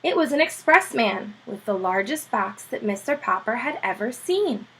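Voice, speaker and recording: A woman's voice: a little high, normal speed, husky, poor audio. A woman teacher reading a children's chapter book aloud, telling it expressively. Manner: expressive, animated storytelling.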